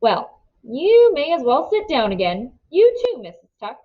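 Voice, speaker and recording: Woman playing a coolly polite sinister gentleman, raised pitch. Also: huge pitch swings, a little slow, dull audio, full character voice. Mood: coolly insistent. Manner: formal, smooth politeness. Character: mild, coolly polite, sinister male stranger.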